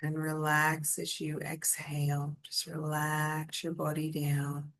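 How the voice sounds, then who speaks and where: Very slow, low-pitched woman guiding meditation. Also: monotone. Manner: soothing, gentle, invitational. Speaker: guided meditation leader. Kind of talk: guided meditation.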